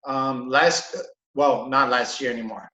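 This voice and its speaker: A man from Brazil, a hotel manager, chatting in a relaxed, halting way with many fillers on a video call. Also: medium pitch. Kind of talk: casual interview. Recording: echoey room, dull lo-fi sound.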